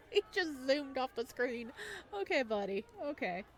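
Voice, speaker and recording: A woman hosting a let's-play of a visual novel, giving playful, giggly and excitable reactions. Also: high-pitched, measured pace. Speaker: gameplay video host.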